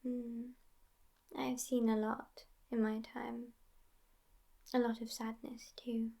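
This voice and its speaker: A woman playing a sassy, world-weary, centuries-old vampire girlfriend in a breathy, whispery ASMR roleplay, languid and disdainful, with thoughtful hums. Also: a little high, level tone, takes it slowly, mellow, exaggerated voice. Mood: bored, wistful.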